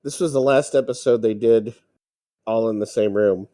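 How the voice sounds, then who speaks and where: A man chatting casually as a podcast co-host, explaining things in a halting way. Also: lowish voice, mellow, no echo, clean studio sound. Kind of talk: casual film commentary chat.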